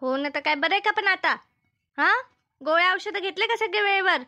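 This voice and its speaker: Woman voicing a very high, piercing, nagging cartoon mother. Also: moderate pace, bright, forceful tone, heavily acted. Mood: fretful. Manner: nagging, repetitive, fretful. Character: Shanta's fussing, scolding older village mother. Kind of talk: comedy cartoon dubbing.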